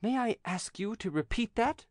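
A male narrator puts on a proud, haughty widow from a storybook. The voice sits high and moves in lively swoops, emphatic and theatrical. She sounds self-satisfied and ready to scold, in a very dry recording. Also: moderate pace, no room sound.

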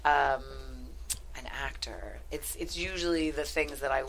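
An American woman in her forties talks casually with a low-set voice that has a forceful, bright edge. Her manner is animated and self-deprecating. Faint noise sits behind her. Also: measured pace, vocal fry. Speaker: guest screenwriter and actress. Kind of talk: casual interview chat. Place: studio couch on a podcast set. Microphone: headset.

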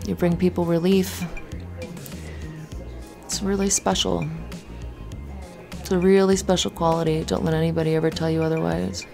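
A woman playing a cute, flustered, flirty barista in an ASMR roleplay, kind and giggly, with halting, rambling talk. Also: fairly low pitch, little pitch movement, creaky, dark tone. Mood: encouraging, playful. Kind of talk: ASMR roleplay, conversational acting. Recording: music under the voice. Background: music.

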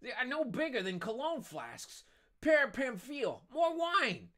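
A woman's voice: high-pitched, sing-song, measured pace, a bit bright, pitched above the performer's own voice, heavily acted. A woman voicing a boisterous, tipsy man with stumbling, defiant bluster in a story reading. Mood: drunken bluster.

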